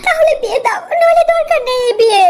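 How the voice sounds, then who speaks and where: A woman acts out a young bride-to-be in a cartoon story. The voice is girlish and extremely high, with very animated pitch. She is caring and insistent, pleading eagerly. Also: fast talker. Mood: pleading, concerned. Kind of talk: cartoon story character acting.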